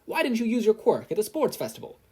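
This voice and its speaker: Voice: medium pitch, wildly swooping pitch, quick pace, warm tone, slightly rough, voice pushed up. A performer voicing a pushy, demanding news reporter in an audio story.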